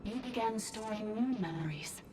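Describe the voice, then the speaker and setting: A woman's voice: fairly high pitch, lively intonation, normal speed, recorded from a distance, over music, natural voice. A woman voicing a solemn, ancient dragon priestess living in a humble service robot, speaking formally in an anime game drama. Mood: sorrowful, grave.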